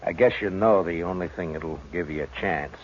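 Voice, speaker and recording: A very low-voiced, weary lawman played by a male actor in a 1950s western radio drama. He is terse and gruff, a decent man who takes on hard jobs reluctantly. The old recording is dull, with faint noise. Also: normal speed, lightly acted. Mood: solemn. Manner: terse, plain-spoken.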